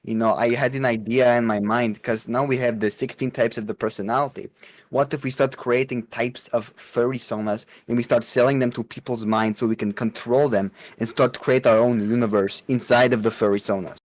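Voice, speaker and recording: A man's voice: mid-range voice, fairly even intonation, fairly quick. A man rambling absurdly and casually in broken, slangy in an online chat.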